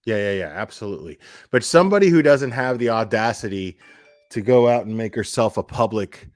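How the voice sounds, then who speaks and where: A man's voice: on the low side, animated, a little fast, no echo. A man speaking casually and off the cuff like a live streamer on a rant, blunt and profane, repeating phrases for emphasis. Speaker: livestream commentator.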